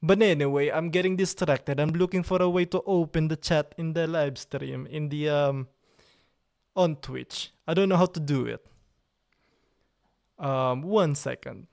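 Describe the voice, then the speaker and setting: A man's voice: fairly high pitch, measured pace, vocal fry, darkish tone. Playful man with an Italian accent. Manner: playful, joking, repeats himself. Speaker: livestream host. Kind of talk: casual live-stream chat.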